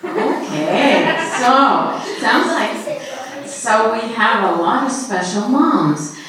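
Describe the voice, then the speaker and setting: A woman's voice: on the high side, expressive, extremely slow, crowd noise. A woman leading a children's message at church, talking simply and encouragingly to young children and asking them questions. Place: large echoey church room. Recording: echoey church, distant mic, audience noise.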